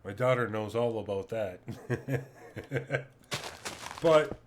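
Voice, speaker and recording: A middle-aged man with a deep voice, chatting calmly and casually with viewers on his live stream from home. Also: extremely slow, background noise. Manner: calm, laid-back, chatty with viewers.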